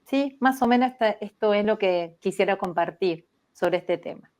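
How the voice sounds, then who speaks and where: A woman, telling her story as a podcast guest in a reflective, measured way that livens up when she quotes herself. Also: mid-range voice, a little mellow. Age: 60s. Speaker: spiritual therapist guest.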